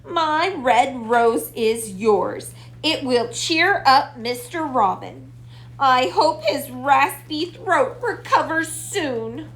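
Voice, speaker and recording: A woman voicing an excitable, fretful but kind little girl in a storybook, exclaiming dramatically. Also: high voice, slow pace, pitched above the performer's own voice, full character voice, low-quality recording. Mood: earnest.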